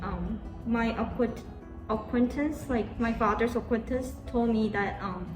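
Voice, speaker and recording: Young Korean-born woman, hesitant, bright pitch. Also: a little slow, echoey room, music in the background, rough recording. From South Korea. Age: 20s. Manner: hesitant, many "um"s. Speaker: guest. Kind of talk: casual Q&A answers.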